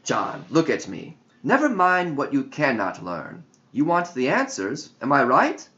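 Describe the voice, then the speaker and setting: A man's voice: medium pitch, wildly swooping pitch, measured pace, a bit bright, exaggerated voice. A man voicing a wise, patient but challenging woman mentor in an audiobook story, speaking directly and instructively. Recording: dull lo-fi audio.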